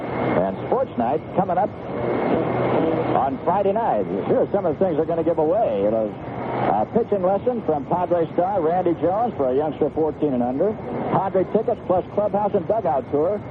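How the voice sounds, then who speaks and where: A man's voice: sits high, sing-song, normal speed. An American man calling baseball play-by-play live on the radio from a stadium booth. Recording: tinny, very noisy 1970s AM radio broadcast. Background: loud ambient noise.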